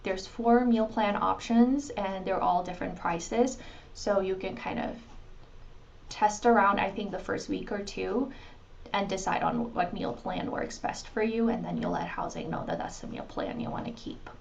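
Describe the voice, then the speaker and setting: A woman presenting to new international students in a casual, conversational way, pausing with ums and restarting her sentences. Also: medium pitch, gravelly, slight background noise.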